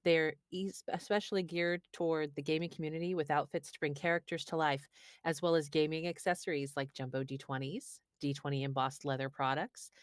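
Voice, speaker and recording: A woman with a low voice, froggy from illness, reads a prepared announcement for a show. The sound is close and dry. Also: dry recording. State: froggy voice from being sick. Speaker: show regular reading a shout-out. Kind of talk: read-out announcement.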